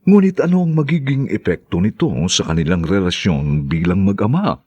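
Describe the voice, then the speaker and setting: A man's voice: fairly low pitch, very animated, moderate pace, dark tone, slightly rough, dry recording, highs cut off. A man announcing a radio drama, introducing the episode and reading out the credits in a formal, grand style. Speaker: program announcer.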